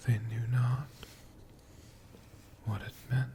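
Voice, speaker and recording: An ASMR storyteller of unclear sex telling tales in a hushed, drawn-out way, with long pauses and repeated words. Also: medium pitch, little pitch movement, noisy background.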